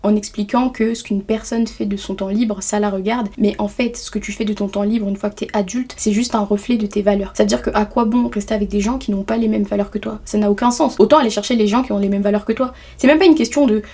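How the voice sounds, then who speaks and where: A woman's voice: medium pitch, steady tone, fast talker, hardly any pauses, mellow, breathy edge. A young adult woman giving earnest self-help advice straight to the viewer, in a casual vlog. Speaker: self-development vlogger. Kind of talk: direct-to-camera advice talk. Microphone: camera mic.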